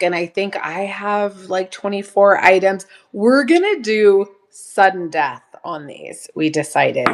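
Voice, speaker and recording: A slightly hoarse woman hosting a live sale stream, chatting casually, greeting viewers by name and laughing often. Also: fairly low pitch, fairly bright tone.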